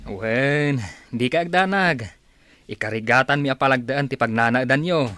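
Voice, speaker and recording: A man's voice: medium pitch, moderate pace, bright, forceful tone, clean tone, natural voice. A man playing a concerned village elder brother. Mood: reassuring. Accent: northern Philippine. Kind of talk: Filipino comedy radio drama acting.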